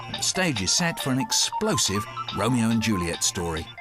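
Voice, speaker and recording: A man presenting scripted documentary narration with an expressive, dramatic storytelling delivery. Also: on the low side, normal speed, music in the background. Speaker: programme host.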